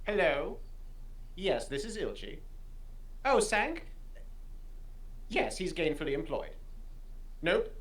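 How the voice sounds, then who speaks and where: A man's voice: high-pitched, big pitch swings, takes it slowly, long pauses, a bit bright, exaggerated voice. A man voicing a pompous, haughty, sinister character from an occult comedy audio drama.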